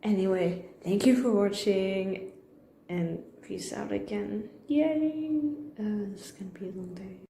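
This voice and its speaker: A nervous woman vlogging casually from a hospital room, hesitant and apologetic with many fillers, sometimes dropping into soft whispered asides. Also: medium pitch, unhurried, vocal fry, noisy background. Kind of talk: casual talk to camera.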